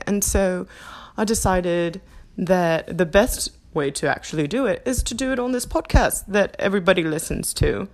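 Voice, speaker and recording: Rambling woman in a put-on Australian accent. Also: on the low side. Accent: put-on Australian, slipping into English. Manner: rambling, self-mocking, laughs often. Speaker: podcast host. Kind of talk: impromptu stream-of-consciousness monologue. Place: her apartment, indoors.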